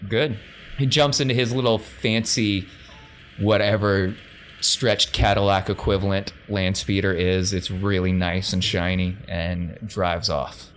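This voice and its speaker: Game master, male: casual narration, fairly low pitch, slight noise in the recording. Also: level tone, unhurried, a bit bright. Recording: faint background noise.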